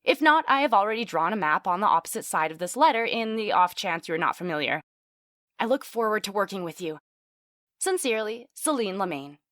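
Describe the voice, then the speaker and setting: A woman's voice: medium pitch, sing-song, fairly quick, fairly bright tone, no room sound, studio quality. A woman playing a polite, chatty elven sorceress and scholar in a fantasy audio drama. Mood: formal. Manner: chatty, courteous, formal wording.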